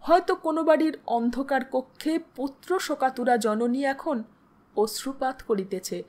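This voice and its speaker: A woman reading literary fiction as a storyteller, in a solemn, deliberate, dramatic audiobook style. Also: high voice, a little mellow.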